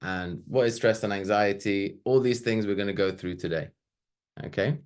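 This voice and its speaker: Man in his thirties with a fairly low voice; relaxed, chatty presenter who wanders into asides. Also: moderate pace, clear, resonant tone. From Sweden. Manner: loose and chatty, with fillers and asides. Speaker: webinar presenter. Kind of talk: casual slide-based webinar talk.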